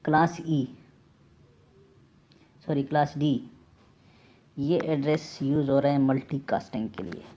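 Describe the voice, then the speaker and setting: Man teaching, dark mellow voice. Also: a little high, takes it slowly, long pauses. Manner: repeats key terms, mixes in English technical words. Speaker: instructor. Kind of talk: informal tutorial explanation. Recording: dull lo-fi mic with faint noise.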